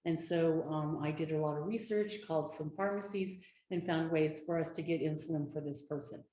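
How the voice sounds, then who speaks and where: A woman speaking as an advocate on a community panel, telling her story in an earnest, steady way. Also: low voice, brisk. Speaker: domestic violence advocate. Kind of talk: panel discussion. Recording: echoey room with faint noise.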